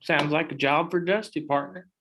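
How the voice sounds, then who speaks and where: A man's voice: fairly high pitch, steady tone, moderate pace, a bit bright, a little hiss or hum, lightly acted. A man playing a laconic, cowboy-like oddball employee in a comedy web series, drawing out his words.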